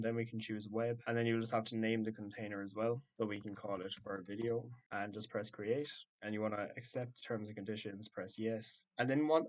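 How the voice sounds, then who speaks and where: A man narrating a step-by-step software tutorial in a matter-of-fact, instructional way. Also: lowish voice. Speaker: tutorial presenter.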